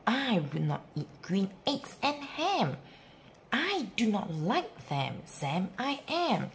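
Very animated woman reading a children's story. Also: mid-range voice, slow, slight background noise. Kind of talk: expressive read-aloud of a rhyming picture book for young children.

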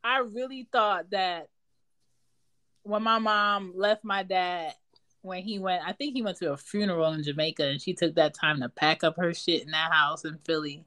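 A woman's voice: on the low side, moderate pace, sharp tone. Candid woman talking straight on a podcast. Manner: candid, blunt, swears freely. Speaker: podcast host. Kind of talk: casual podcast chat.

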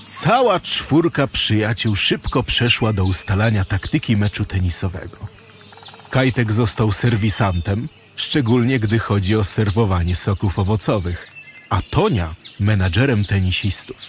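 Low, expressive male storyteller. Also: unhurried. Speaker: narrator. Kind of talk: scripted children's story narration. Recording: birdsong and ambient noise behind.

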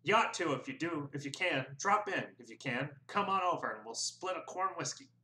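A man reading aloud, voicing a loud, bullying, heavyset small-town official who barks his questions. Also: lively intonation, moderate pace. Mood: friendly. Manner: booming, barking questions. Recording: roomy echo.

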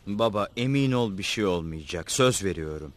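A man's voice: low voice, lively intonation, normal speed. A man playing a protective, hot-tempered eldest brother in a cartoon dub, urgent and insistent.

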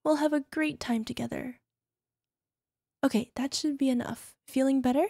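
A woman's voice: high voice, fairly even intonation, normal speed, gravelly, dark tone, no echo, studio quality. A woman playing a doting, possessive young yandere wife in an ASMR roleplay, cooing and coaxing the listener with a controlling edge. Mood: tender, possessive.

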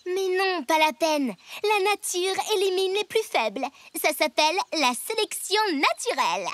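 A woman's voice: very high voice, animated, measured pace, noisy background. A woman voicing a snobbish, boastful and spiteful young schoolgirl in a cartoon, with a haughty, mocking delivery. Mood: gloating. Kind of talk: animated cartoon voice acting. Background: music.

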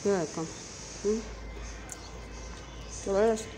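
A woman with a Pakistani Urdu accent hosting a casual home cooking tutorial, talking chattily and tagging each step with a quick check-in. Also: mid-range voice. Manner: chatty, repeats "theek hai" after each step. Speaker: home cooking channel host.

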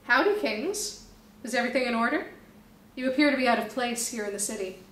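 A woman's voice: a little high, lively intonation, a little fast, a bit bright, roomy sound. A woman voicing an earnest, suspicious male snake police officer in a storybook reading. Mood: stern.

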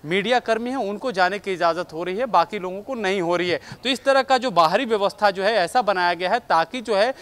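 A man in his thirties reporting live outdoors with a handheld mic, talking quickly and leaning on filler phrases. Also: high-pitched, fairly bright tone. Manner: fast, filler-heavy reporting with repeated "jo hai". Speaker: field reporter. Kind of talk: live news report from outside. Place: outdoors outside a parliament building.

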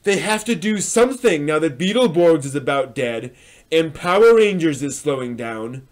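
High-pitched man, theatrical reader. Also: expressive, moderate pace. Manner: theatrical, emphatic when reading. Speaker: host. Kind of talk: dramatic reading of old online posts with spoken asides. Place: small home room with bookshelves, indoors.

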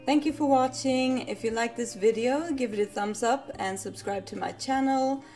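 Soft-spoken young woman. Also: a little high, moderate pace, soft background music. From Sweden. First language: Swedish. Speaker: vlogger. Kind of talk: casual talk to camera. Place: living room indoors.

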